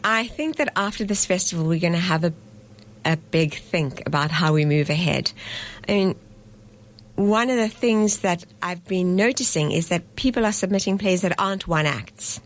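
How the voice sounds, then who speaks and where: A woman from South Africa, a festival director, explaining her work to radio hosts in an earnest, unhurried way with frequent pauses. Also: lowish voice. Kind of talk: radio interview guest. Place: radio studio.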